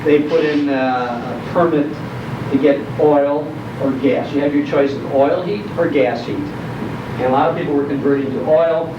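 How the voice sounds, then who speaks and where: A distant, dull recording in an echoey room carries an older man with a Chicago accent and a fairly high voice. He reminisces in a folksy, descriptive way, leaning on emphatic pauses as he addresses his listeners. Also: background noise. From Chicago area, United States. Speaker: guest speaker, nostalgia storyteller. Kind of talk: informal reminiscing talk to a live audience. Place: indoor program venue, recorded from a distance.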